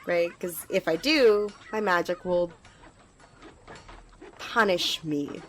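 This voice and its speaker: A woman's voice: on the low side, slow pace, a bit bright, a little hiss or hum. A woman playing an eager, earnest, slightly nervous magical squire in an ASMR roleplay, talking to the listener in a hesitant, rambling, reassuring way. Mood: friendly, reassuring.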